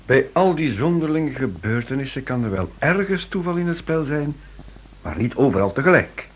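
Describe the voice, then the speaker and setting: An actor playing a shrewd, reluctant amateur sleuth in a radio play, reasoning in a wry, measured manner. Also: male voice, mid-range voice, big pitch swings, slightly rough. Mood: wry, pondering. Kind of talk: Dutch-language radio play acting.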